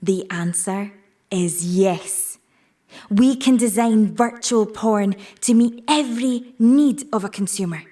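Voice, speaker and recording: A persuasive tech businesswoman delivers a scripted pitch in an audio play. Her pace is slow and measured, at a middling pitch, and she sounds set back from the microphone. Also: warm tone, recorded from a distance. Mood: confident, persuasive. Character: married tech-industry woman pitching virtual reality to an audience. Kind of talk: scripted audio drama monologue, public presentation.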